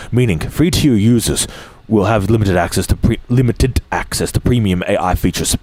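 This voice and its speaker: A man streaming as he reads news articles aloud, with frequent restarts, throat clearing and dry offhand remarks. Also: fairly low pitch, darkish tone, no room sound. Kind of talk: reading articles aloud with asides.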